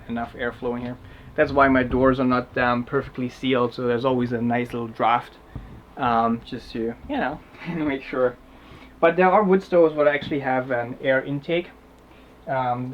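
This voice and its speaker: A man from Germany with a German accent, English his second language, giving a casual halting tour of his tiny house with many fillers. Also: mid-range voice, lo-fi sound, slight background noise. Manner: halting, many fillers and restarts, laughs often. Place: small wooden tiny house, indoors and just outside.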